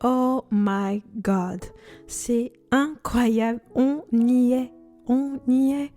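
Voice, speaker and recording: A woman's voice: on the high side, fairly even intonation, very slow, dark tone, faint music behind. A woman in her twenties, originally from Congo, hosting a faith podcast in an earnest, devout and chatty manner with frequent fillers. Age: 20s. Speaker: podcast host.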